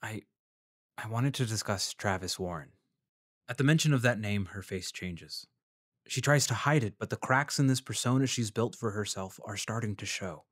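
Earnest, tactful journalist. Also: male voice, on the low side, moderate pace, a bit bright, dry recording, studio quality. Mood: hesitant, apologetic. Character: earnest, tactful male freelance journalist narrating his investigation. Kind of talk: fiction podcast acting, scripted first-person narration.

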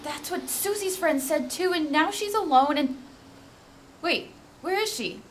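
A young woman playing an earnest, caring, impulsive college student in an audio drama, with an eager, expressive delivery. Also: sits high, measured pace. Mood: alarmed. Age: young adult. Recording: faint background noise.

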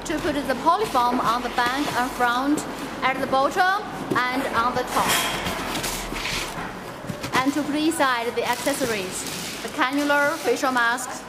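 A woman's voice: high voice, a little slow. A young woman guiding viewers through a factory floor and warehouse, explaining each step and pointing things out. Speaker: factory tour guide. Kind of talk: guided factory walkthrough. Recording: echoey factory hall with loud background noise.

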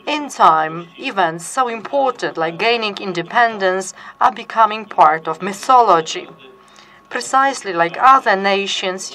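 A woman giving live interpretation of an academic conference talk, measured, with restarts and fillers. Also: mid-range voice, a bit bright, rough recording. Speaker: simultaneous interpreter.

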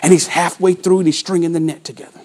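A man in his forties giving a motivational sales training talk to an office room, with dramatic pauses and storytelling, on a lapel mic while he walks and gestures. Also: a little high, flat delivery, a little mellow. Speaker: sales coach.

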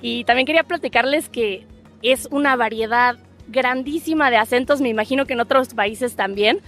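Mexican woman in a park signing off, fairly high voice, upbeat, soft music under her. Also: normal speed. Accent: Mexican Spanish. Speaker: host wrapping up. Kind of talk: friendly closing to camera. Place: outdoor park. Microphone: handheld mic.

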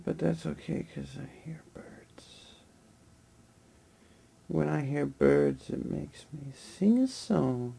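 A sleepy young man lying on a floor, talking in a drowsy, rambling, deadpan way into a nearby webcam. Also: a little high, takes it slowly, vocal fry, long pauses, husky. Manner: drowsy, mumbling, deadpan with sudden laughs. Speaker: vlogger. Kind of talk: rambling late-night webcam monologue. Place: lying on a floor in a room indoors.

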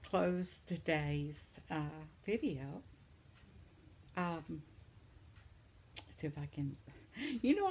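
Slow, gravelly older woman chatting. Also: lowish voice, darkish tone. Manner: chatty, sympathetic, reacts aloud. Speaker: vlogger. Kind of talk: casual chat reading viewer comments. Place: living room at home, seated in armchair.

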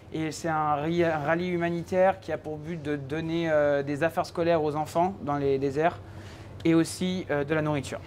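A young man from Normandy, a culinary student explaining a recipe on a live cooking show in a teaching kitchen, chatting with many filler pauses. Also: fairly high pitch, darkish tone, slight background noise. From Normandy, France. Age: young adult. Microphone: handheld mic.

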